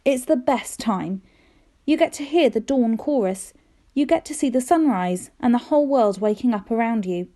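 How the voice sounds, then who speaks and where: A woman reading a children's storybook aloud in an expressive, careful storytelling manner, with dramatic emphasis. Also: fairly high pitch, moderate pace. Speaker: teacher reading aloud to pupils.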